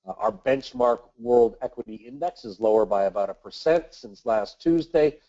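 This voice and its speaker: A man with a nasal voice from a cold, hosting a weekly investment webinar and reading market commentary in a measured way with the odd filler. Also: medium pitch, dark tone, muffled recording. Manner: measured, reads from notes with filler uhs. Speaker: webinar host, investment manager.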